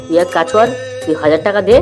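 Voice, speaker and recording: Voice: medium pitch, fast, over music, lightly acted. A performer playing a cheeky, pushy young male drinking buddy in a comedy cartoon, bantering in slang. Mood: needling.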